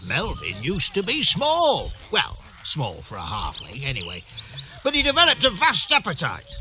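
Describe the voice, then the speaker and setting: A man's voice: on the high side, wildly swooping pitch, normal speed, pushed and bright, slight background noise, exaggerated voice. A man playing a dim, jittery village peasant on watch, in a comic video game. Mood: relieved, chatty.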